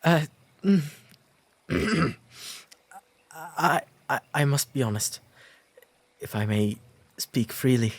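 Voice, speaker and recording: An earnest, shyly smitten English gentleman of the 19th century played by a man in a romantic audio roleplay. His delivery is slow and formal, halting a little with nervous sincerity. The voice is soft and breathy, with a slightly husky edge. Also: medium pitch, lively intonation, dry recording. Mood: nervous, confessing.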